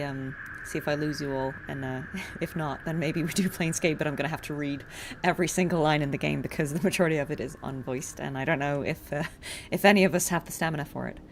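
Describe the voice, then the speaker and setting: A woman's voice: low voice, steady tone, fast talker, slight background noise. A woman game streamer giving casual, reflective livestream commentary, thinking aloud as she plays.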